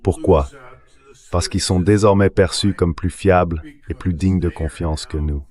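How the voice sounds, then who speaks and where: This is a synthetic man's voice with a low pitch. It delivers a guest commentator's answers as a translated interview voice-over, reading political analysis. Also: steady tone, measured pace, a crowd in the background.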